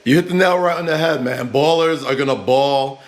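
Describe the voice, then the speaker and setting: A man's voice: medium pitch, brisk. A man from Queens, hosting a casual sports interview in emphatic, slangy talk. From Far Rockaway, Queens, New York. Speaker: sports show host.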